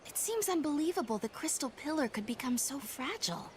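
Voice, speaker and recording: A woman playing a hopeful, gentle but determined young heroine in a video game cutscene. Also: sits high, big pitch swings, a little fast, slight background noise. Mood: earnest. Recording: music behind the voice.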